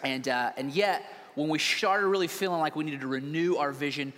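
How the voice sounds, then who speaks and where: Energetic pastor man in reverberant hall. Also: on the high side, a little fast. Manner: energetic, joking, loud emphatic bursts. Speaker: lead pastor. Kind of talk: on-stage church interview. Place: large church hall. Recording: reverberant hall, faint noise.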